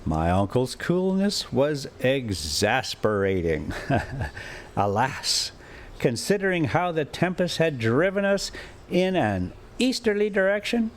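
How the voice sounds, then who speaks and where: A man reading a classic adventure novel aloud as an amateur storyteller, with dramatic, deliberate phrasing and pauses. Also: medium pitch, big pitch swings. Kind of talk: expressive amateur audiobook narration with casual asides.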